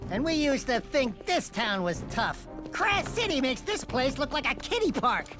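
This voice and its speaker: Little cocky cartoon critter, high voice all over the place, mouthy, whiny, music behind. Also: male voice, sing-song, normal speed. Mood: boastful. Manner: mouthy, boastful, whiny, comic. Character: cocky, wisecracking small male animal sidekick. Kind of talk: cartoonish game voice acting.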